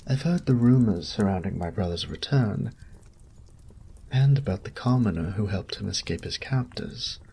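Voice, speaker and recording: A man's voice: on the low side, animated, normal speed, vocal fry, warm tone, slight background noise. A man voicing a courteous noble knight captain in a fantasy audio roleplay, speaking in formal, polished phrases and politely probing.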